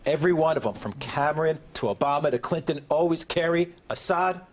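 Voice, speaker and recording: Guy on a political chat show, a bit high pitched, emphatic, sounds incredulous. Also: brisk, a little hiss or hum. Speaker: panel guest commentator. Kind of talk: political panel discussion.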